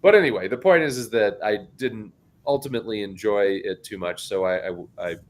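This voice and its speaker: A middle-aged man co-hosting a podcast in casual chat, talking in a big loud voice. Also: lowish voice, brisk, a little hiss or hum. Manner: animated, self-described loud talker with a big voice. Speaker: podcast co-host.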